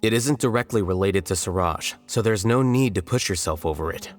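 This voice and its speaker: A man acting as a composed, rational advisor in a video game. His voice is lowish and measured, with faint music behind it. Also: lively intonation, close to an everyday voice. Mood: matter-of-fact.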